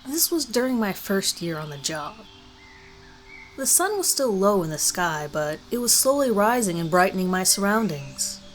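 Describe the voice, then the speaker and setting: A woman reads a scripted first-person horror story in a measured, deliberate way with dramatic pauses. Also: medium pitch, poor audio. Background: background music.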